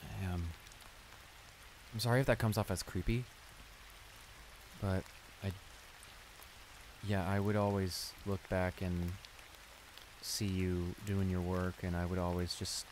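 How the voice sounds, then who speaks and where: Man acting shy, stammering low-voiced school bully, rain behind. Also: takes it slowly, pauses often, slight background noise. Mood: sheepish, apologetic. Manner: stammering, hesitant, apologetic, easily embarrassed. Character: shy, flustered male school bully confessing a crush. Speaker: audio roleplay voice actor. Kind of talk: intimate improvised audio roleplay acting. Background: steady rain.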